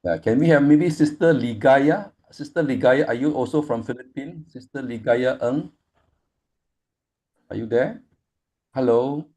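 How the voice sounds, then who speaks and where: A man's voice: mid-range voice, a little slow, pauses often. A man moderating an online study group over a video call, talking casually with many "you know" fillers. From Malaysia. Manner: frequent "you know" fillers, warm thanking.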